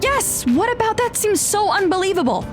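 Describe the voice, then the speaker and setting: A woman's voice: extremely high pitch, sing-song, moderate pace, over music. A woman playing an eager, easily flustered teenage girl in an audio drama, with a polite manner. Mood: indignant.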